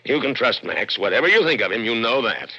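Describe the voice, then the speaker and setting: An actor playing a proud, coldly rational middle-aged inventor in a radio drama, lecturing emphatically. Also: male voice, mid-range voice, lively intonation, a little fast, pushed and bright, slightly rough. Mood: assured, instructing. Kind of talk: 1960s radio drama acting. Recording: dull old radio broadcast recording.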